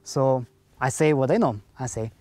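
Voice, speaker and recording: A man's voice: mid-range voice, normal speed, darkish tone, no echo. A man speaking English as a second language, earnestly telling a personal faith story and acting out the dialogue in simple grammar. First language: not English. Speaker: speaker giving a personal testimony.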